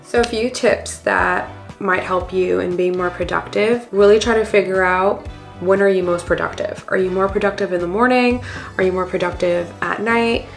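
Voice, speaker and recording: A woman's voice: medium pitch, fairly even intonation, moderate pace, creaky, faint music behind. A woman in her thirties, slightly congested after a cold, chatting in a friendly, encouraging way to her vlog viewers. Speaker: vlogger. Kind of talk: casual lifestyle vlog talk.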